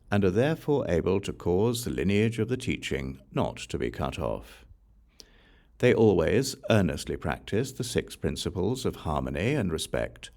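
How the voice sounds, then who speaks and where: A man reading scripture aloud as an audiobook narrator, solemn and formal, in measured phrases that fall away at the ends. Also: deep voice, darkish tone. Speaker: audiobook reader.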